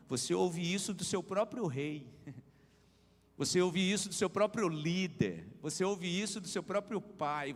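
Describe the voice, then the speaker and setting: A man preaching a sermon as pastor, driving his points home with swelling emphasis and repeated refrains. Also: a little high. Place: church hall. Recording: reverberant church hall.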